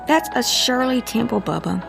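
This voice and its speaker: A woman's voice: fairly high pitch, lively intonation, moderate pace, vocal fry, over music. An exasperated, sardonic lady agent. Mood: deadpan. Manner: dry, sarcastic, folksy scolding. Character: exasperated, sardonic woman agent, short on patience. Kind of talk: comedic audio drama acting.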